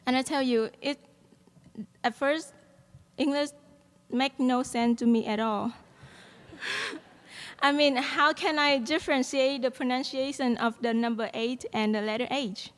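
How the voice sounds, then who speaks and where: A young Vietnamese-accented woman speaks earnestly at a podium microphone and fills her pauses with soft "um"s. Her voice sits fairly high. It rings out with a long reverb through a large hall. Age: young adult. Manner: earnest, with frequent "um" fillers and light laughs. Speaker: student speaker at a graduation. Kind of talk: commencement speech.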